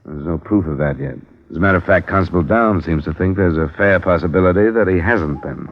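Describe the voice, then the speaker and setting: A deep-voiced hard-boiled investigator. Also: male voice, brisk. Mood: probing. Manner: terse, matter-of-fact, probing. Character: hard-boiled male insurance investigator narrating his case. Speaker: lead detective and narrator. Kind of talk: 1950s radio drama acting. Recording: lo-fi old radio broadcast with music.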